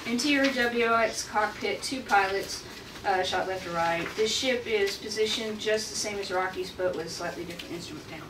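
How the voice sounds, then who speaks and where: A woman's voice: mid-range voice, brisk. A woman reading out screenplay stage directions as narrator at a table read. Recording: echoey room, distant mic, clear noise.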